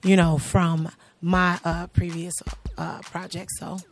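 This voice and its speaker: A middle-aged woman with a naturally raspy voice, a singer and youth arts instructor answering interview questions in a relaxed, chatty way with many fillers, recorded in a small studio on a handheld mic. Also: on the low side, slow pace, a little hiss or hum. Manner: relaxed, chatty, trailing off with fillers, light laughs. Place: small studio in front of a logo backdrop. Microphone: handheld mic held by interviewer.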